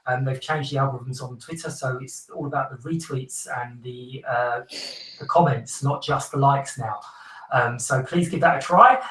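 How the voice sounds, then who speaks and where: A man's voice: mid-range voice, normal speed, fairly bright tone, echoey room, distant mic, rough recording. A man hosting a casual podcast, chatty and friendly, laughing often between ums. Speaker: podcast host.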